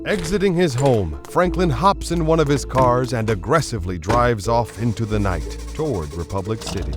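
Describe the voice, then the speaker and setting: A man's voice: mid-range voice, expressive, moderate pace, music in the background. A man narrating a radio play in a scripted, dramatic style.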